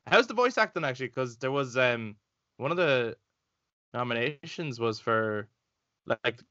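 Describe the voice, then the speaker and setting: A man's voice: mid-range voice, expressive, a little slow, bright, forceful tone, no room sound, lo-fi sound. A man co-hosting a casual gaming podcast, rambling, with lots of fillers, and laughing easily. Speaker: podcast co-host. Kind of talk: casual chat.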